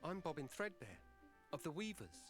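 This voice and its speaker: Fantasy game hero: earnest, polite young male weaver, animated intonation. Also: fairly high pitch, moderate pace, soft background music. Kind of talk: audio drama and game voice acting.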